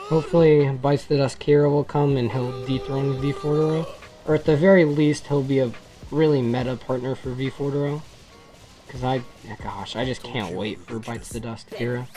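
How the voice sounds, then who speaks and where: Gaming creator, mid-pitched voice, informal and rambling showcase commentary, music underneath. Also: dark tone. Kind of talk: casual gameplay commentary.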